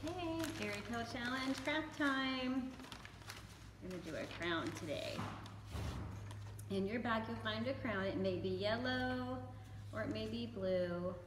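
An American woman with a slightly high voice casually walks children through a craft. She is recorded at a distance in an echoey room with clear background noise. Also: unhurried, long pauses. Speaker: craft demonstrator at a library. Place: library room with bookshelves. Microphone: camera a few meters away.

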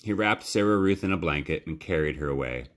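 Male storyteller, low pitch, measured delivery, dramatic pauses, children's book read-aloud. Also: expressive. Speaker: library storytime reader. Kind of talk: expressive children's audiobook-style narration.

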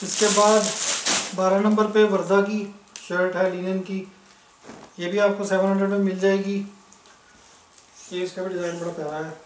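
A man from Pakistan showing clothes for sale, listing prices in a brisk, matter-of-fact way. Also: high-pitched, little pitch movement, recorded from a distance, slight background noise. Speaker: online clothing seller. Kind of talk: casual product showcase. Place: indoors, filming fabrics on the floor. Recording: echoey room, somewhat off-mic.

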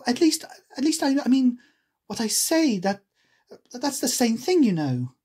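A woman plays a prim, curious little girl from a storybook. The voice is lifted high and swings freely in pitch, earnest and quick to take offence, with a slightly husky edge. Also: very animated, dark tone, heavily acted. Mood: eager.

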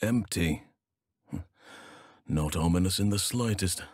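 A stoic, earnest druid from a fantasy video game, a man devoted to nature. His voice sits fairly low and he speaks very slowly, with gentle solemnity and formal, old-fashioned phrasing. The recording is close and dry. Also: no room sound. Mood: calm, grave. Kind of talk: video game character voice lines.

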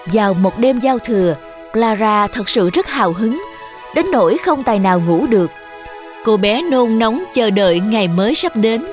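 A woman narrates a children's fairy tale in a fairly high voice. The delivery is scripted storytelling, with music playing underneath. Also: animated, moderate pace.

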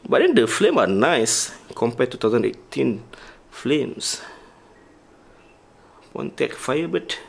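A man casually walking through his diecast car collection, talking haltingly and listing models one by one. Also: mid-range voice, very animated, vocal fry, slightly rough. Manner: halting, with restarts and listed names. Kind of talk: casual toy collection walkthrough.

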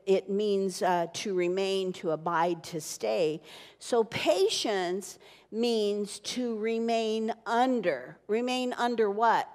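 An older woman pastor preaching a sermon, animated and teaching, with wide gestures on a church stage. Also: medium pitch. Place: church stage with wood-panel wall. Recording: long reverb from church hall.

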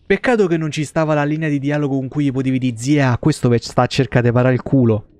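Male streamer, Roman accent, clear resonant voice; loose, colloquial, swear-heavy play-by-play from a home setup. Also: mid-range voice, steady tone, fast talker, a little mellow, no room sound. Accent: Roman Italian. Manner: foul-mouthed, rambling, colloquial. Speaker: game streamer. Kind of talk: casual live gameplay commentary. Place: small indoor streaming room.

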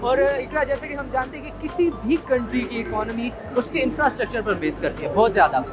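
A young man hosts from a busy indoor expo hall, his speech polite and measured. Music plays clearly behind him. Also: extremely high pitch. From Pakistan. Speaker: host. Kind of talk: event presenting and interviewing.

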